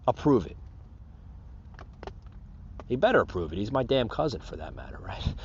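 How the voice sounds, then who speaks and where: A man's voice: mid-range voice, very animated, normal speed, a little mellow, muffled recording, slight background noise. An American man hosting a podcast in a casual, unscripted monologue, rambling and repeating himself as he addresses his listeners. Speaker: podcast host.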